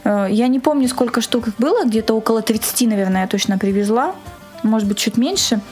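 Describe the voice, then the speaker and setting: A woman's voice: on the high side, fast talker, a little mellow, faint music behind. A woman speaking as a guest in a conversational radio interview, earnest and enthusiastic in the way she talks. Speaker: guest, organizer of a screening.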